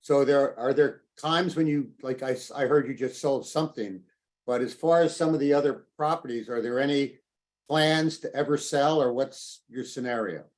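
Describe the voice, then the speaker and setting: A man's voice: medium pitch, moderate pace, darkish tone. A man asking informal questions as a caller on a business conference call.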